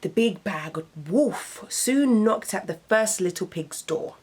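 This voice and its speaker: A young adult woman reading children's stories aloud as a host, with dramatic, deliberate emphasis. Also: mid-range voice, animated, darkish tone, husky. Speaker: radio host, storyteller. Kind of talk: expressive children's storybook narration.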